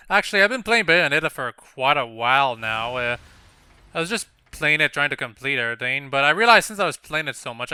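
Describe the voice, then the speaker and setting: A man's voice: medium pitch, sharp tone, smooth, no echo. A man hosting a gameplay video with casual, unscripted commentary in a rambling, explanatory way. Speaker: gaming channel host.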